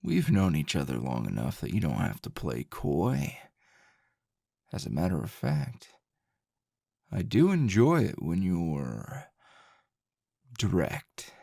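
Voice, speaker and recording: A man playing a grumpy middle-aged teacher in an intimate ASMR roleplay, a teasing, possessive lover with a low, gravelly, husky murmur and a slow drawl. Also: huge pitch swings, warm tone, no echo, studio quality, full character voice. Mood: coy, seductive.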